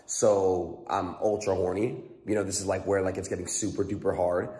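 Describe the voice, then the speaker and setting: A man's voice: low-pitched, level tone, moderate pace, mellow. A young man talking in an earnest, rambling confessional vlog, full of fillers. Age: young adult. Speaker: streamer in a played clip. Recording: roomy echo with long reverb.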